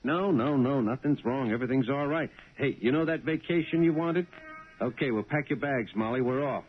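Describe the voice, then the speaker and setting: An actor playing an eager, excitable working-class taxi driver in a 1970s radio drama, folksy and exclamatory. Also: male voice, mid-range voice, moderate pace, close to an everyday voice. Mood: elated. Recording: tinny, noisy old radio broadcast.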